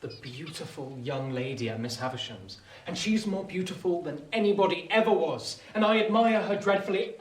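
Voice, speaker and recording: An actor playing a discontented, lovesick working-class youth who longs to be a gentleman, earnest and impassioned in a radio drama style. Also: high-pitched, normal speed, few pauses, natural voice. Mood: infatuated, agitated. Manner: earnest, impassioned, swinging from petulant to wistful. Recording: roomy echo, distant mic, faint hiss.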